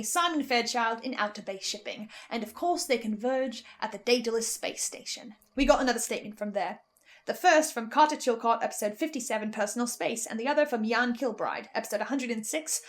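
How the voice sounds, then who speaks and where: A woman with a fairly high voice hosts fan theory commentary. She talks in an animated, stammering way as she works through ideas. Soft music plays behind her. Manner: animated, stammering when piecing ideas together. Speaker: host. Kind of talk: excited fan theory commentary on a fiction podcast.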